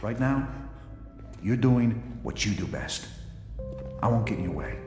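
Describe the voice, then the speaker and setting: An actor playing a weary, sincere middle-aged police captain in a video game, measured and earnest. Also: male voice, fairly low pitch, lively intonation, mellow. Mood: earnest regret. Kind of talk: dramatic video game cutscene acting. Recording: echoey reverberant hall, music behind.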